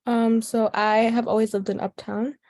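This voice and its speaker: Mellow young American woman, reflective. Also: on the high side, flat delivery, moderate pace. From Chicago, US. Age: teens to young adult. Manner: casual, thoughtful, frequent "like". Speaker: youth organizer being interviewed. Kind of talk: reflective oral history interview.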